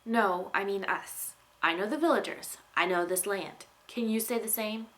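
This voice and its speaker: A woman's voice: mid-range voice, normal speed, fairly bright tone, natural voice, rough recording. A woman reading the part of a fiery, stubborn young tribeswoman in a storybook, curt and insistent. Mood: curt, impatient.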